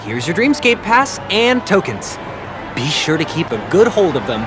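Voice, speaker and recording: A man's voice: on the high side, sing-song, moderate pace. A man voicing a polite, formal amusement park attendant in a video game, with a courteous customer-service manner. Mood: polite, businesslike. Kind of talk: video game character voice acting. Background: game music.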